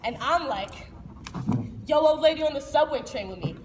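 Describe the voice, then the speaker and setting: A woman acting an excitable, streetwise young city girl in live theater, with animated, slangy, dramatic delivery. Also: high voice, normal speed. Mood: excited storytelling. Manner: animated, slangy, breathless dramatic build-ups. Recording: noisy echoey hall, distant mic.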